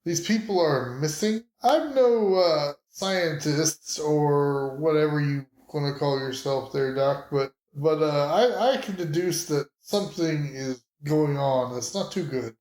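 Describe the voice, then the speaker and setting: A man's voice: a little high, measured pace, few pauses, darkish tone. An American man playing a tabletop roleplaying game casually, speaking as his character. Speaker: player in an actual-play podcast. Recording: echoey room, home studio.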